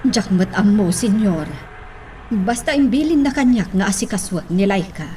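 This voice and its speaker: An actress playing a discreet, deferential household servant in a radio drama, reserved in manner. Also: female voice, medium pitch, lively intonation, normal speed, mellow, a little hiss or hum, close to an everyday voice. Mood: guarded.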